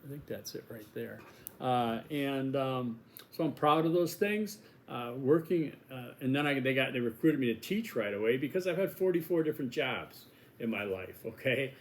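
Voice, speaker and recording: A man's voice: mid-range voice, lively intonation, normal speed, a little hiss or hum. A man in his sixties from Milwaukee with a Wisconsin accent, a college instructor chatting casually in a course welcome video, rambling and joking at his own expense. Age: 60s. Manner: rambling, chatty, self-deprecating jokes.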